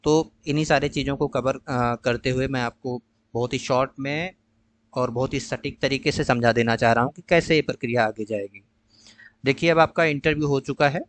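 A man patiently walking viewers through an online counselling process in a screen-share tutorial, pausing often with "uh". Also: mid-range voice, steady tone, dry recording. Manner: patient, explanatory, mixes English phrases with frequent "uh" pauses. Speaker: tutor explaining a college allotment process.

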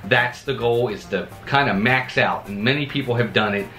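A man in his forties talks straight to the camera from his home office desk, upbeat, with plenty of rises and falls in his pitch. His mid-range voice sounds through a roomy, echoey space. Faint music plays underneath. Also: normal speed. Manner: upbeat, lively pitch swings. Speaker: theme park channel host. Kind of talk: scripted vlog talk to camera.

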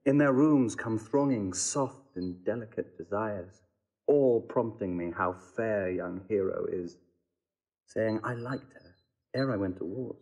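Young noble soldier, lovestruck, earnest, husky lowish voice, classical audio play. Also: male voice, unhurried, darkish tone, rough recording. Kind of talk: classical Shakespearean audio drama acting.

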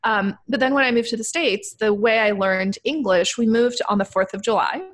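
A woman in her thirties, raised in the Netherlands with Dutch and Turkish as first languages, speaking fluently and articulately as a podcast guest over a video call from a home room. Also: fairly high pitch. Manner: articulate, structured, quick and fluent. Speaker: guest, crypto investor. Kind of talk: casual podcast interview. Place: home room with brick wall. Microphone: desktop studio mic on video call.

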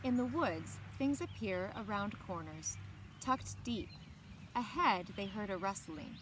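A woman in her thirties reading a children's picture book aloud expressively, with deliberate phrasing and dramatic emphasis. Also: mid-range voice, animated. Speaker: library story time reader. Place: outdoors on a lawn by trees. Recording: outdoor background noise with birdsong. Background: outdoor ambience, birds.

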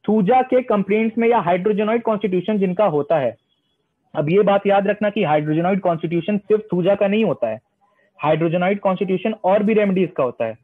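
High-voiced male homeopathy lecturer. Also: breathy edge. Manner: reads English text slowly, then explains in Hindi; asks questions and answers them himself. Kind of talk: online lecture mixing Hindi and English. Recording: tinny phone-line sound.

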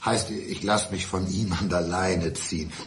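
An actor playing an intense, supposedly blind man clinging to his ex with false calm, in a deliberate, emphatic radio crime drama style. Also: low voice, background noise. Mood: polite.

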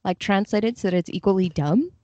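A woman's voice: medium pitch, quick pace, darkish tone, no room sound, lo-fi sound. A woman speaking casually as a guest in an interview, explaining her work. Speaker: podcast guest, literary translator.

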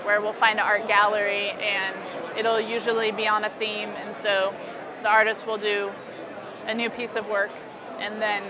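A woman's voice: mid-range voice, level tone, moderate pace. A young American woman talking casually and informally as an artist being interviewed at an expo booth. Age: young adult. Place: indoor convention expo booth. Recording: busy crowd noise around the voice. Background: convention hall crowd chatter.

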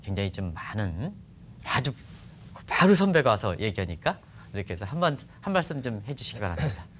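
A middle-aged man hosting a broadcast interview, speaking in halting, wordy phrases. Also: lowish voice, big pitch swings, a bit bright, slight background noise. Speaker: TV show host. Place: bright TV studio. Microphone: lapel mic.

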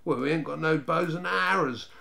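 A man's voice: a little high, normal speed, vocal fry, bright, forceful tone, voice pushed up, heavily acted. Man doing a piping, fierce little gang boy. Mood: eager, menacing. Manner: piping, fierce, ungrammatical street talk. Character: younger Snargets, fierce eager boy gang sidekicks.

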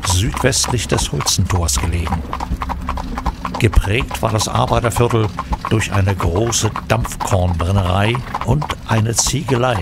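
An actor as the storyteller, giving scripted narration for a historical crime audio drama. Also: male voice, fairly low pitch, vocal fry, very noisy. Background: loud music.

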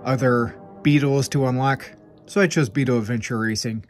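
A man with a mid-range voice talks through game picks in a casual, upbeat way. He sounds a little stuffed up from congestion. Clear background music plays under his voice. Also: medium pitch, steady tone. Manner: upbeat, chatty, quick asides. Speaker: gaming channel host. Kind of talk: casual game recommendations to camera. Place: room with game shelves, indoors.

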